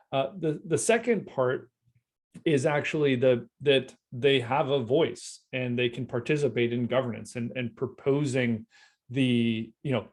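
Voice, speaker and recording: Guy talking through a startup pitch, mid-pitched, restarts a lot. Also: darkish tone. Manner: explanatory, repeats words while thinking. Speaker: co-founder presenting. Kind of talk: online company update.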